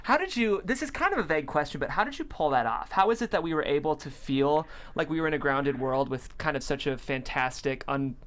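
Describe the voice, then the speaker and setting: A man's voice: on the high side, animated, a little fast, hardly any pauses, a bit bright, a little hiss or hum. A man hosting a conversational interview, thoughtful and complimentary, choosing his phrasing with care. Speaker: host of a script table-read show.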